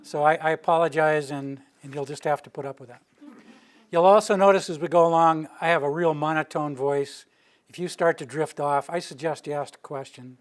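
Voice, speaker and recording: An older man near retirement, from the Rocky Mountain region, giving an informal public presentation in a meeting room with a steady, monotone delivery. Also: on the high side, fairly quick. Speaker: utility planning director giving a public presentation. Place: meeting room with a live audience.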